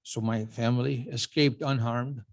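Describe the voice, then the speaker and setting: A man in his seventies from Uganda giving a prepared acceptance speech, telling his story in a measured way with dry, self-deprecating humor. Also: mid-range voice, fairly even intonation, creaky. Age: 70s. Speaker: award honoree giving a speech.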